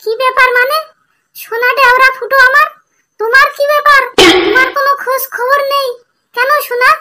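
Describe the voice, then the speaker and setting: Clingy, high-voiced flirty sister-in-law Boishakhi. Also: male voice, flat delivery, a little fast, pushed and bright, exaggerated voice. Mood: demanding. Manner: coaxing, insistent, wheedling. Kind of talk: comic cartoon dialogue.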